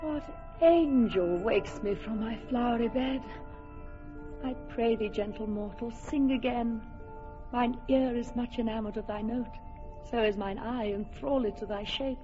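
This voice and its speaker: A woman's voice: on the high side, a little slow, lightly acted. Actress as a commanding fairy queen, light-voiced, lyrical verse, muffled radio sound with music behind. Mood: enraptured. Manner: lyrical, imperious verse delivery. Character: proud enchanted fairy queen, doting and commanding. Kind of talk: classic radio drama verse acting.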